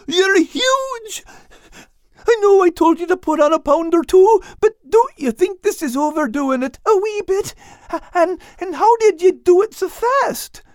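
A little storybook tomcat, pitched far up and bouncing all over. He is nervous and excitable, stammering through folksy exclamations in a dry, close recording. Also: dry recording, full character voice. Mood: astonished. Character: small, excitable, nervous male cat, comically flustered. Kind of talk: children's storybook character voice.

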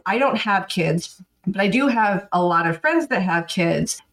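A woman in her thirties co-hosting a podcast, talking in a flat, monotone, dryly sarcastic way. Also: mid-range voice, measured pace. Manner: dry, sarcastic. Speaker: podcast co-host. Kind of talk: casual chat.

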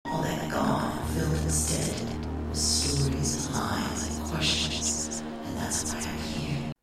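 An actress voicing a bitter, defiant ghostly woman writer from a dream, in a breathy, intense whisper for an audio drama. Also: low-pitched, sing-song, slow pace, exaggerated voice. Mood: defiant, eerie. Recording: cavernous echo, distant, under loud music.

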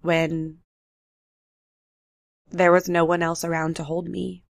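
A young woman with a low, heavily gravelly voice speaks in an even, steady register, nasal from getting over the flu. She tells her story in a candid, unhurried way for her solo podcast. The sound is close and dry, with no room echo. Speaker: podcast host. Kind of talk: solo reflective podcast talk. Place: apartment with road noise outside.

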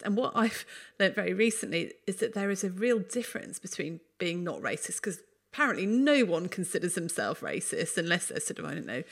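A woman hosting a panel discussion, thoughtful and asking questions. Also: fairly low pitch.

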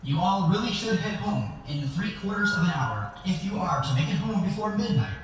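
An actor playing a courteous, precise AI assistant in an audio drama, speaking in formal phrases. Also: male voice, high voice, animated, moderate pace, over loud music. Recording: echoey, distant, noisy intercom-like sound.

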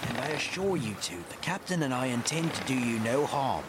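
A man's voice: mid-range voice, lively intonation, a little fast, background noise, lightly acted. A man playing a loyal, dutiful first officer on a sailing ship in an audio drama, formal and deferential in manner. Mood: earnest, persuasive. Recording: loud ship and sea ambience behind.

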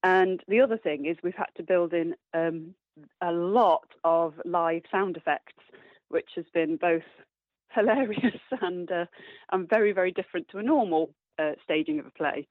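A woman's voice: fairly low pitch, moderate pace, no echo. A woman giving cheerful, thoughtful answers as an interview guest, heard over a phone-like line. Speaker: interview guest, stage actor. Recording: narrow, phone-like band.

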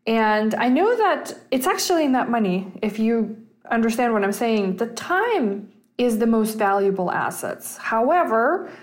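Woman hosting a podcast, higher voice. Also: very animated, a little slow. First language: Russian. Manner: easygoing, talks as ideas come to her. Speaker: podcast host. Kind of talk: solo finance podcast, thinking aloud.

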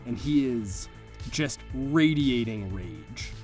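A man's voice: mid-range voice, animated, slow, darkish tone, faint music behind. A man improvising vivid, descriptive narration as he runs and plays a tabletop role-playing game. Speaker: game master and player.

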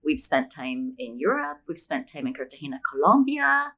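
A woman's voice: low voice, very animated, moderate pace, a bit bright, slightly rough. A middle-aged woman from Scotland answering interview questions as a guest, talking conversationally and reflectively, explaining things with examples. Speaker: guest, novelist.

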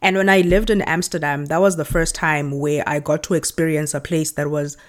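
South african woman in her 30s, lowish voice, lively storytelling on a podcast. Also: normal speed. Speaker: guest. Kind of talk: conversational interview storytelling.

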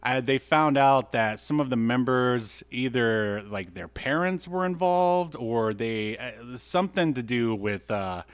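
A man's voice: mid-range voice, animated, a little slow, hardly any pauses, smooth. A man co-hosting a podcast, chatting casually in a joking, teasing way as he recaps things. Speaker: podcast co-host.

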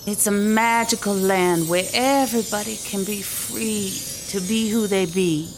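A woman's voice: medium pitch, unhurried, noisy background, close to an everyday voice. A woman playing a dreamy, stubborn alien diva in a sci-fi comedy audio drama, theatrical and declamatory. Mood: dreamy, proud.

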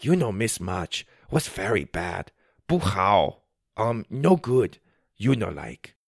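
A man's voice: on the low side, very animated, slow pace, creaky, dry recording, clean studio sound, heavily acted. A man reading aloud and voicing a cheerful male river boat tour guide who speaks eager broken English.

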